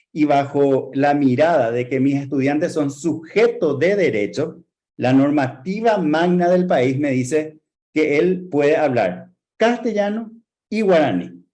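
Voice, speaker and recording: A man with a Paraguayan Spanish accent giving an earnest academic talk to teachers, posing rhetorical questions and stressing his points with measured emphasis. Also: fairly high pitch, dark tone. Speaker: lecturer.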